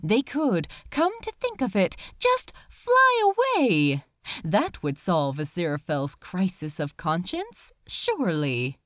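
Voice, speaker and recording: Very animated, slow-paced woman storyteller. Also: lowish voice, no room sound, studio quality. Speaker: fan fiction reader. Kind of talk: dramatic scripted story narration.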